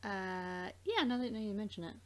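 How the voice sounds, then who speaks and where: A woman with a mid-pitched voice hosts a casual let's play. She rambles in a loose, halting way, often breaking off and circling back to pick up her thought. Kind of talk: casual gaming chat.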